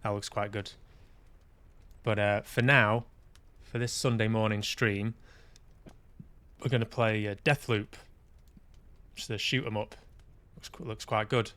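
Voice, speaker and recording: A man's voice: fairly low pitch, little pitch movement, a little slow, long pauses, a bit bright, no echo. Rambling English man hosting a stream. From Manchester, England. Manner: rambling, self-deprecating, dry humour. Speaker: podcast host and streamer. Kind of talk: casual livestream chat.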